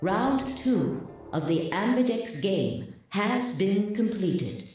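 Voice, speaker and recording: A woman playing an impassive announcement system in a video game, measured, polite and detached, with echoing reverb. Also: medium pitch, big pitch swings, a little mellow, faint music behind. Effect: echoing public-address reverb. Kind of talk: formal scripted announcement.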